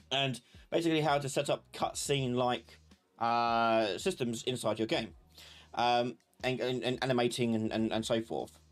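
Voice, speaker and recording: Man, mid-pitched voice, live stream tutor, casual tutorial style, halting delivery with repeated words.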